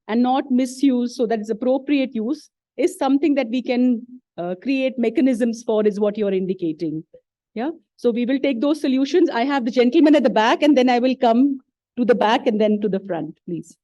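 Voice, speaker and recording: A woman's voice: fairly high pitch, mellow, dry recording. A woman moderating a conference session, politely summarizing each speaker's points. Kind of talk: moderating a discussion. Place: conference hall.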